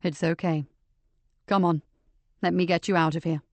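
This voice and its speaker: A woman voicing a calm, low male detective. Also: no echo, lightly acted. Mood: soothing. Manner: low, gentle and measured. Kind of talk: audiobook character voice.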